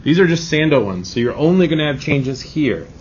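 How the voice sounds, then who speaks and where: A man's voice: on the high side, big pitch swings, brisk. A man teaching a grammar lesson in an informal, reassuring classroom manner, often checking in with short rhetorical questions. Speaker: Spanish teacher. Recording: dull lo-fi audio, clear background noise.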